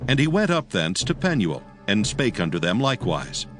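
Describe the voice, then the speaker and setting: A man narrating scripture in a dramatic, solemn and measured scripted style. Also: lowish voice, sing-song, over music.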